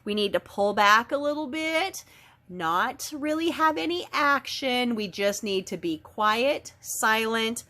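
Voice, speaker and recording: A woman with a head cold and a slightly nasal voice, giving a casual spiritual oracle card reading in a chatty, gentle way. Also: on the high side, lively intonation, slow pace. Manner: chatty, gentle, with frequent ums and self-corrections.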